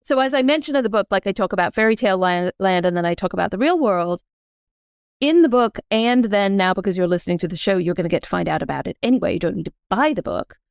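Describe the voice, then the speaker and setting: A woman's voice: medium pitch, lively intonation, fast, gravelly, clean tone, no room sound. A woman speaking as a podcast guest and leadership advisor, explaining informally with analogies and acting out questions and thoughts.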